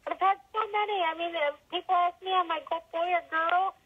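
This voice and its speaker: Woman playing a tiny, squeaky-high boy Christmas elf. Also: steady tone, a little fast. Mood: cheerful, chatty. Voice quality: small, high voice. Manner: chatty, rambling storytelling. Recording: dull, tinny radio-like sound.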